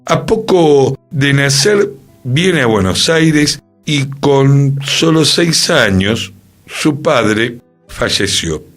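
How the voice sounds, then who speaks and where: A man's voice: mid-range voice, animated, extremely slow, fairly bright tone. A man with an Argentine accent co-hosting a radio show and presenting his column in a conversational style. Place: radio studio. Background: faint music.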